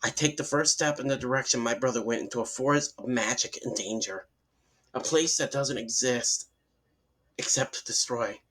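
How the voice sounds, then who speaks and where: Guy reading a creepy horror story out loud, middle pitch, trips on words a bit. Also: normal speed, rough recording. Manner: stumbles over words and restarts. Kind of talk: dramatic first-person horror story narration.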